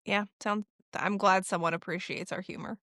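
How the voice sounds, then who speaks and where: A woman co-hosting a podcast, chatting casually in an animated, teasing way with quick jokes. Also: medium pitch, no echo, studio quality. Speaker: podcast co-host. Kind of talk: casual chat.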